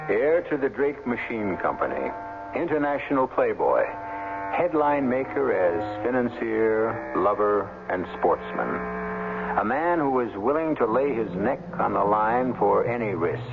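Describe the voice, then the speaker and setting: Deep, gravelly, dramatic male mystery host. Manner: dramatic, portentous, deliberate pauses. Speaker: host and narrator. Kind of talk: 1970s radio mystery narration. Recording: muffled old radio, music behind.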